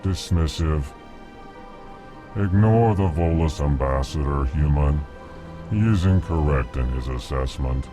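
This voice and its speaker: A man voicing a huge, placid alien diplomat in a video game, speaking in a ponderous monotone. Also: rumbling low, a little slow. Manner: monotone, ponderous, states his tone before speaking. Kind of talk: video game character dialogue. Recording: music behind the voice.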